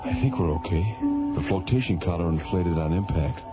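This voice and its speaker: An actor playing a brisk, commanding spaceship skipper, giving clipped orders and probing questions in a radio science fiction drama. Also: male voice, deep voice, close to an everyday voice. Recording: dull vintage radio recording, loud music behind.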